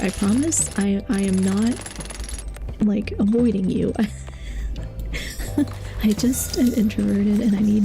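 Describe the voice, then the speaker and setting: Mellow-voiced woman chatting while gaming. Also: mid-range voice. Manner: chatty and confiding, laughs often. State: losing her voice. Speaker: streamer. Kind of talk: casual chat while playing a game.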